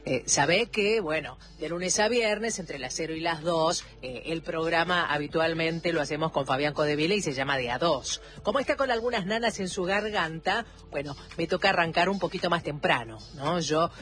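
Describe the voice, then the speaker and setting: A woman's voice: sits low, moderate pace, few pauses, fairly bright tone. An Argentine woman hosting late-night radio, talking intimately and affectionately to listeners with effusive, emphatic repetition. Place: radio studio.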